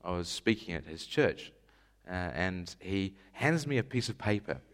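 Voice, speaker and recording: A man's voice: low voice, expressive, a little slow. A man from Scotland, preaching a sermon in an emphatic style with rhetorical questions. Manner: emphatic rhetorical questions, rising to stressed lists.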